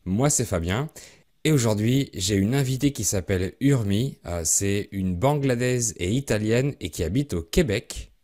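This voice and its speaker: A man from France hosting a podcast for French learners, speaking in a clear, measured way as he introduces and interviews a guest. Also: fairly low pitch, expressive, darkish tone. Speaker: podcast host.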